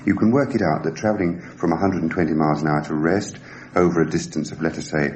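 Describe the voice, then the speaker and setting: A man giving a measured, precise technical explanation in a formal, academic way, as an expert on a radio documentary. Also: a bass voice, fairly even intonation, darkish tone. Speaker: scientific expert interviewee. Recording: noisy archive radio recording.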